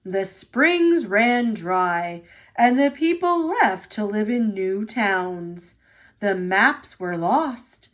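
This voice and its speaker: Woman putting on a stately, slow-speaking railway boss. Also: medium pitch, lively intonation. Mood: solemn, proud. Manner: measured, formal, explanatory. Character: stately male railway boss, measured and authoritative.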